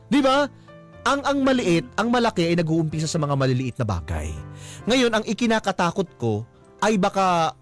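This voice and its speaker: Animated, high-pitched Filipino man hosting radio. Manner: explanatory, often checks with rhetorical questions. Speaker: radio advice show host. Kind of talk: conversational radio counseling. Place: radio studio.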